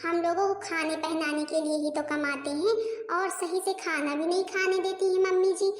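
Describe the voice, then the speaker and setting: A woman's voice: very high voice, fairly even intonation, moderate pace. A woman voicing an aggrieved, scheming young village daughter-in-law in a comedy cartoon, chatty and complaining. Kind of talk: Hindi comedy cartoon dialogue. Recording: echoey, long reverberant room sound.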